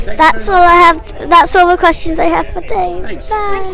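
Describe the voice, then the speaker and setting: A very high, young girl's voice asks scripted interview questions. A faint crowd can be heard around her outdoors. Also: steady tone, unhurried, vocal fry, pushed and bright.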